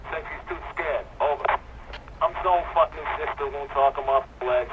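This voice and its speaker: An actor playing a blunt, cynical police officer in an audio drama, giving clipped, casual reports through a radio filter. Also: male voice, fairly high pitch, moderate pace. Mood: sardonic. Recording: tinny radio transmission with loud static.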